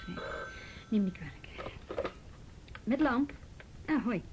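A woman's voice: animated, slow pace. A woman playing a firm, matter-of-fact youth police officer who asks probing questions in a Dutch radio drama. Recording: noisy old radio drama recording, rumble.

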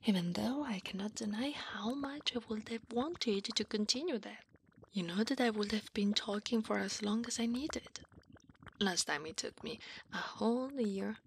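A woman voicing an affectionate, teasing, clingy slime wife in a soft, half-whispered ASMR roleplay. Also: medium pitch, moderate pace, a little hiss or hum, close to an everyday voice. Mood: clingy, playful. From Italy. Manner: soft, breathy half-whisper, intimate, coaxing.

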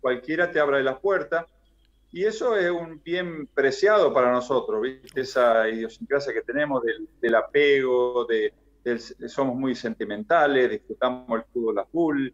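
A middle-aged man with an Argentine accent, chatting as an interview guest over a video call, filling pauses with "eh" and "viste". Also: mid-range voice. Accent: Argentine Spanish. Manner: chatty, fills with "eh" and "viste", restarts phrases. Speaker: interview guest, former basketball player. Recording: dull lo-fi video call audio.

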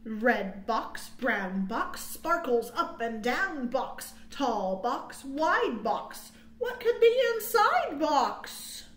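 An American woman in her thirties hosting storytime for young children, with sing-song, drawn-out phrasing, recorded indoors on a webcam mic. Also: high-pitched, very animated, a bit bright. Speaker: children's librarian, storytime host. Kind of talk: storytime read-aloud and counting rhymes for children. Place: small quiet room indoors. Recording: roomy webcam sound.

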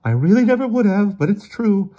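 Storybook character played by a man: a grave, weary brother who is shaken yet insistent. His voice rides high and strained above a normal speaking level, with emphatic, exasperated, theatrical phrasing. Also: animated, fairly quick, warm tone, highs cut off. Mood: shaken, urgent.

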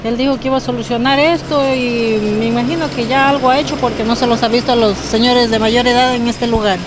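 A woman's voice: a little high, fairly even intonation, fast talker. A woman in her thirties working a food stall, answering a reporter plainly into a handheld mic. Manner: plain, matter-of-fact answers. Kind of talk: street interview. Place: outdoor food stall on a street. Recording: street noise behind.

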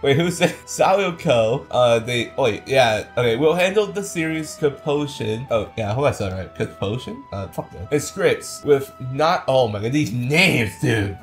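A man's voice: mid-range voice, expressive, normal speed, hardly any pauses, faint music behind. A man hosting casual anime commentary, blunt and exasperated, swearing often and stumbling over foreign names. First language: English.

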